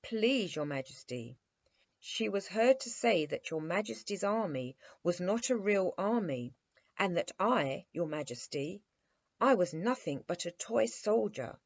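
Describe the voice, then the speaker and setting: A woman voicing a stern, self-important male toy captain with a gruff manner, in a children's storybook reading. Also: lowish voice, expressive, measured pace, highs cut off. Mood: deferential, aggrieved.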